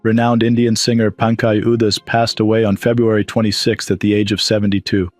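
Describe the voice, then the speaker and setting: A man's voice: on the low side, flat delivery, brisk, no room sound. A man reading a scripted news voice-over as the narrator. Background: background music.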